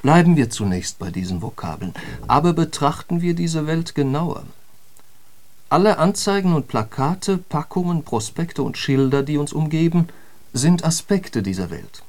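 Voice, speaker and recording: An actor playing a courteous philosopher-lecturer in a literary radio play, politely didactic and fond of rhetorical questions. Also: male voice, a little high, expressive, normal speed, a little mellow, lightly acted. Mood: didactic, insistent. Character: courteous male philosopher-lecturer, eagerly dissecting riddles.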